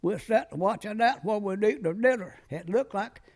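A man's voice: high-pitched, fairly quick. A very old American man, a war veteran in his nineties, reminiscing in a rambling, folksy way with clipped, loose sentences. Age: very old, 90s. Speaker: war veteran being interviewed. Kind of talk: oral-history reminiscence.

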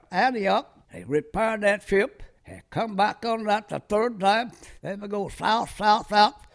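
A very old American man, a war veteran in his nineties, reminiscing in a rambling, folksy way with clipped, loose sentences. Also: high-pitched. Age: very old, 90s. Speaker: war veteran being interviewed. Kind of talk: oral-history reminiscence.

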